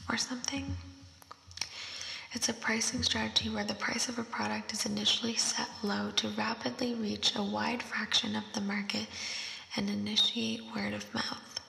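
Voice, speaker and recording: A young woman doing ASMR, whispering softly as she reads quiz questions and thinks through them aloud, with a voice effect on her whisper. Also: medium pitch, vocal fry. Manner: soft unvoiced whisper, slow and thinking aloud. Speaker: ASMR creator. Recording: roomy echo with long reverb.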